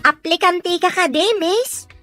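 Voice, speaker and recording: A woman as a high-voiced, helpful housemaid. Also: measured pace, bright, forceful tone, faint music behind, exaggerated voice. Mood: eager, respectful. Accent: Ilocano. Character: deferential, helpful female household helper. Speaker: radio drama actor. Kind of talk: Filipino radio drama dialogue.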